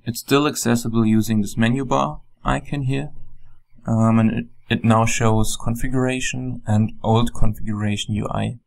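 A man with a German accent, a software developer, calmly walking through a program on a screen recording with frequent ums. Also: medium pitch, moderate pace, gravelly. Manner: calm, plain explaining with frequent ums.

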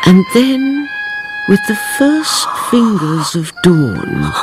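A woman playing the narrator of a radio drama, telling it in a deliberate, suspenseful, theatrical manner. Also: mid-range voice, huge pitch swings, over music, full character voice. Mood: grave, dramatic. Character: grave, dramatic female storyteller narrating revenge.

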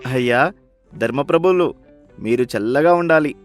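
A man's voice: medium pitch, lively intonation, moderate pace, soft background music, natural voice. A man playing a humble, hungry beggar in a story, speaking in a pleading, deferential way. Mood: grateful.

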